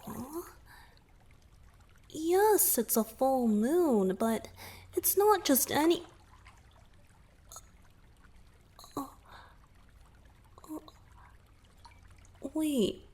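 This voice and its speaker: A woman voicing a gentle young merman boyfriend in a romantic ASMR roleplay, soft-spoken and hesitant, often slipping into breathy whispers. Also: high voice, very animated, very slow, pauses often, full character voice. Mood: hesitant, coy.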